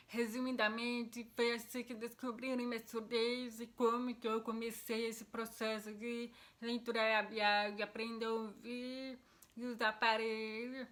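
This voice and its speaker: Young deaf Brazilian woman vlogger, higher, flat-toned delivery, earnest and explanatory. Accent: Brazilian Portuguese. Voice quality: deaf speech, heard by others as a foreign-sounding accent. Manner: earnest, explanatory, with frequent restarts. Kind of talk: casual personal explanation to camera.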